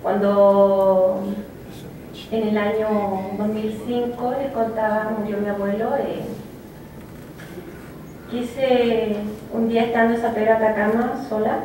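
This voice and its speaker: A woman poet giving a reflective, halting personal talk, with many fillers and restarts. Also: mid-range voice, little pitch movement. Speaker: poet speaking of her native language. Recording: echoey room, from a distance, faint noise.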